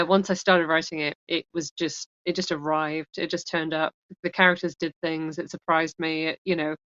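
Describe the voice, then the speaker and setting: A woman with a fairly low voice speaks candidly as an interview guest. She rambles, breaks off mid-sentence and laughs easily. The sound is dry and muffled, like lo-fi call audio. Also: a bit bright, no room sound. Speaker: author guest.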